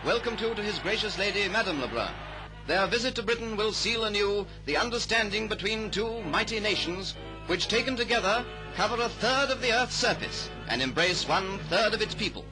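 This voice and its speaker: A man's voice: high voice, lively intonation, a little fast, rough recording. A man narrating a 1930s newsreel in a grand, declamatory, patriotic manner. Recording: old newsreel soundtrack under loud music. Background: loud music.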